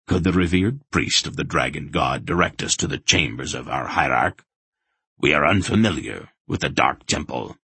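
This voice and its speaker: A shrewd old man of magic from a fantasy audiobook, acted by a male narrator. His voice is very low and a little rough, with formal phrasing. It is recorded dry and close. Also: level tone, moderate pace, few pauses, sharp tone, dry recording, heavily acted. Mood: smooth, deferential. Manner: formal, deferential. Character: wily old male sorcerer posing as humble pilgrim.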